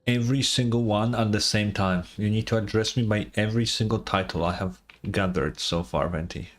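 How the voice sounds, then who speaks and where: A man's voice: lowish voice, steady tone, fairly quick. A man doing casual gaming livestream commentary in a sarcastic, mock-exasperated way, swearing freely. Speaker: streamer.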